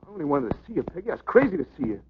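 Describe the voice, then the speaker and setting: A lovesick young boxer. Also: male voice, a little high, very animated, rapid-fire, slight background noise. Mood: tender. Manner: plain street slang, hushed and sincere. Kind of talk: 1940s film acting. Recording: dull old film soundtrack with music.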